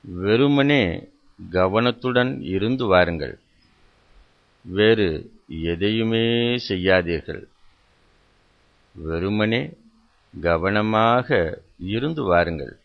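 Slow, low-voiced man guiding meditation. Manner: measured, instructional, repeats phrases with pauses. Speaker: meditation guide. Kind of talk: guided meditation instruction. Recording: dull, muffled lo-fi sound.

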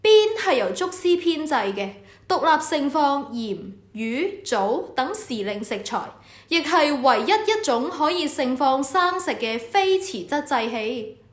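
A temple official acted by a woman speaks in a high voice with a Hong Kong Cantonese accent. The manner is authoritative and instructive, as in a scripted radio drama, and the sound is roomy and echoing. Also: very animated, a little slow. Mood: approving. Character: official in charge of the Temple of Heaven, instructive superior. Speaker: voice actor.

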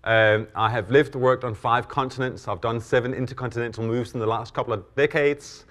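A middle-aged man from Denmark with a Danish accent, speaking as host of a conference stage session in a relaxed, self-deprecating way, often pausing on "uh" and "um". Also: mid-range voice. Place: conference hall.

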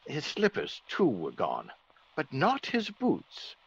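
An actor playing a genteel, easygoing English gentleman with polite, old-fashioned exclamations, in a classic radio drama. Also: fairly high pitch, huge pitch swings, takes it slowly, gravelly, husky, full character voice. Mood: troubled. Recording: dull old radio recording.

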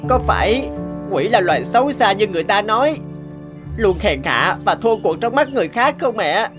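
A woman voicing an earnest, wounded young orphan demon in an animated fairy tale, pleading and plaintive. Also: medium pitch, moderate pace, over music, lightly acted. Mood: heartbroken.

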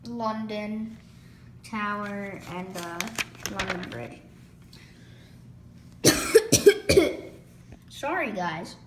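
Hoarse young woman rambling through a catalog. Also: medium pitch. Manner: rambling, lists items quickly with filler words. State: losing her voice, hoarse. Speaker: YouTuber flipping through a toy catalog. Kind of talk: casual off-the-cuff vlog commentary. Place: indoors, room. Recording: echoey room with clear background noise.

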